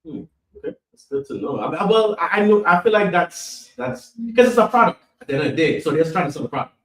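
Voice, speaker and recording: A Belizean man hosting a podcast, relaxed and a bit hesitant. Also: high-pitched, quick pace. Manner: relaxed, hesitant, many fillers. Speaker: podcast host. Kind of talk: casual chat. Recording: echoey room, mic at a distance.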